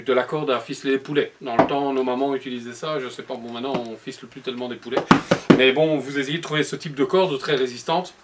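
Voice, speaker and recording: A man's voice: on the high side, background noise. Patient man explaining a craft. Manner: patient, explanatory, addresses viewer directly. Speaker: upholsterer demonstrating cushion making. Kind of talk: step-by-step how-to instructions. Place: upholstery workshop showroom, indoors.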